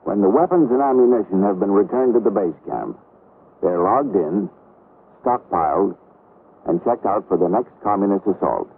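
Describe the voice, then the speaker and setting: An American man reading a scripted 1960s military training film narration in a formal, authoritative, measured way. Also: on the low side, lively intonation. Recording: muffled, noisy vintage film soundtrack.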